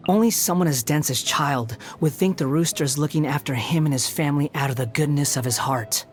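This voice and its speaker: A man's voice: a little high, a little fast, natural voice. A man playing an arrogant, bitter, scornful young male character in a video game, with a condescending, taunting delivery.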